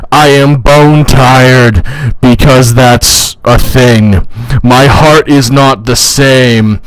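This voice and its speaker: A man's voice: medium pitch, no room sound, low-quality recording. A man voicing an entitled, self-pitying bride-to-be in a theatrical, indignant, profane dramatic reading for comedy. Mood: weary, self-pitying.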